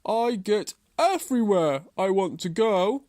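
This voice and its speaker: A patient, stubborn tortoise from a children's tale, voiced by a woman. The delivery is slow and deliberate, lifted above an ordinary talking pitch. Each phrase lands firmly and is drawn out with determination. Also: lively intonation, dark tone, dry recording, studio quality, close to an everyday voice. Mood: indignant, resolute. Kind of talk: children's storybook character voice.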